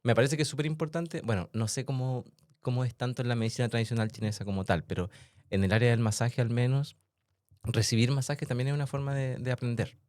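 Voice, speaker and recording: A man hosting a podcast interview in a casual, chatty way, laughing often and making quick asides. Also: mid-range voice, fairly even intonation, a little mellow, no echo, clean studio sound. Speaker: podcast host and massage therapist.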